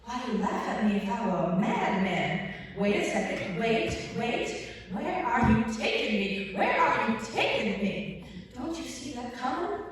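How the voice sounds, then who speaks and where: A woman's voice: fairly high pitch, very animated, measured pace. A woman performs a desperate female would-be savior in a dramatic stage monologue. The delivery is urgent and imploring, with repeated pleas. It is heard from off in a long, echoing hall with faint noise. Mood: pleading, measured. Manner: urgent, pleading, repeats phrases. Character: desperate, frantic would-be savior pleading to be believed. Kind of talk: dramatic monologue read at a workshop. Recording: very echoey hall, distant mic, faint noise.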